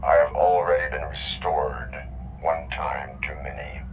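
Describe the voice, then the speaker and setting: An actor playing a stern, flat-spoken cyborg police officer in a video game. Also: male voice, rumbling low, measured pace, phone-quality sound, a little hiss or hum. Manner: flat, clipped, mechanical delivery. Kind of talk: video game cutscene dialogue.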